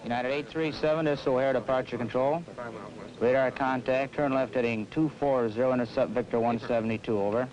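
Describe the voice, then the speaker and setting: An actor playing a businesslike departure controller, giving procedural headings by radio. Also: male voice, medium pitch, steady tone, brisk, a little mellow, lo-fi sound, close to an everyday voice. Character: businesslike male departure controller directing a flight. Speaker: air traffic controller. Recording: old film soundtrack, busy background din.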